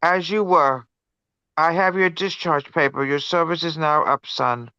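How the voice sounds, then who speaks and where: A performer playing a brisk army officer in a table read of a film script, on a lo-fi recording. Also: mid-range voice, pushed and bright, clean tone, no room sound. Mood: matter-of-fact.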